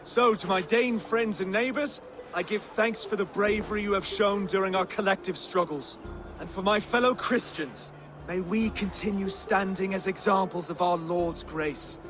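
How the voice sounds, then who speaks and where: Man playing a high-voiced, earnest young-hearted Saxon king. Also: moderate pace, noisy background, lightly acted. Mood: earnest, solemn. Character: gentle, earnest Saxon king, pious and conciliatory. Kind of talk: dramatic video game acting. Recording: music behind the voice.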